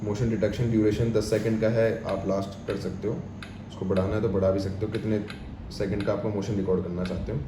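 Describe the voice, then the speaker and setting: A man's voice: on the low side, level tone, a little fast. An Indian man giving an informal step-by-step product tutorial in an explanatory, repetitive way, mixing English terms into his speech. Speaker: product demonstrator. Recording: echoey room with clear background noise.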